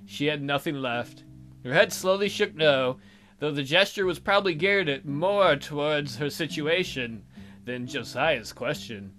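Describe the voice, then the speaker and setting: Bright-voiced man narrating a visual novel. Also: on the high side, a little slow, faint music behind. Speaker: let's play host. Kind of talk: reading a visual novel aloud with dramatic narration and joking asides.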